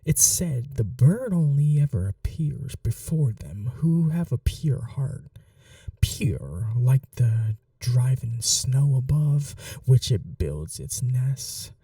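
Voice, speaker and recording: Woman acting a dark, whispery vampire mistress. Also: rumbling low, expressive, no room sound, distant mic, heavily acted. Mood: wistful. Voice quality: breathy, often whispering. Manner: teasing, coaxing, unhurried. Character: cruel, doting vampire mistress toying with her captive. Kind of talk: intimate ASMR roleplay.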